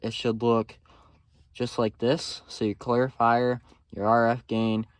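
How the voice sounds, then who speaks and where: A man's voice: medium pitch, little pitch movement, a little slow, dry recording. A man giving a casual hands-on tutorial in an informal, unpolished way, thinking aloud as he works. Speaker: amateur video host. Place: in and around a pickup truck. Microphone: phone camera mic. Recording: noisy phone recording, vehicle noise, clicks.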